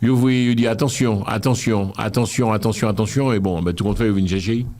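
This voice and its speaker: A man's voice: lowish voice, fairly even intonation, fast talker, a little mellow. A man hosting a casual interview, talking in a playful, teasing way and often repeating himself. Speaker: interviewer host.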